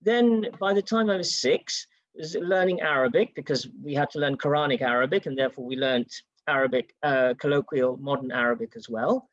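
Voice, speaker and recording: Panelist with a dull, dry sound. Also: male voice, mid-range voice, a little fast, fairly bright tone, no echo. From United Kingdom. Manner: storytelling, acts out quoted exchanges. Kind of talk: panel discussion, personal anecdote.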